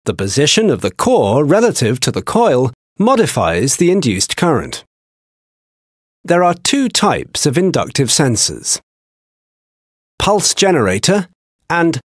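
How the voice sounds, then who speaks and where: Husky-voiced man narrating a technical lesson. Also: a little high, no echo, clean studio sound. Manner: measured, explanatory delivery. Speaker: narrator of a training video.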